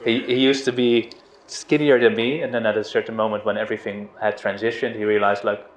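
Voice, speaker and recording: A middle-aged man from the Netherlands giving an informal spiritual talk, relaxed and hesitant, with restarts and soft laughs. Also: on the low side, fairly quick. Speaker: spiritual teacher. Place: outdoors on a river boat. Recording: roomy, dull lo-fi sound with faint noise.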